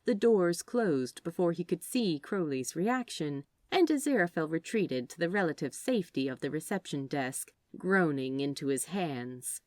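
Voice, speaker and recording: A woman reading a story aloud as narrator, in an expressive scripted style. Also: low voice, moderate pace, few pauses, no room sound. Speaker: fan fiction narrator.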